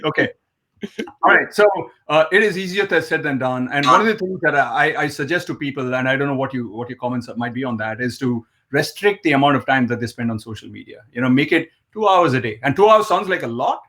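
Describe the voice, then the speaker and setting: A man's voice: medium pitch, brisk, bright, forceful tone. A man hosting an interview, friendly and affirming, thinking aloud with fillers as he frames his questions. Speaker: host. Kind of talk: interview conversation.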